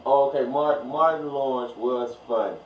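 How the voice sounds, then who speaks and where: A man's voice: mid-range voice, a little slow, muffled recording. Probing man in his thirties, echoey room. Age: 30s. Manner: probing, keeps pressing questions. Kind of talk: casual podcast banter. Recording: roomy, distant mic, faint noise.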